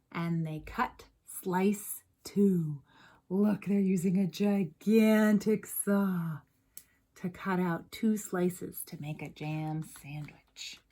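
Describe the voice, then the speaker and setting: Lady teacher doing storytime, takes her time, really expressive, asks the kids stuff. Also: mid-range voice. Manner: expressive, emphatic, asks the listeners questions. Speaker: teacher reading a picture book aloud to children. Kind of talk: animated storybook read-aloud with asides to young listeners.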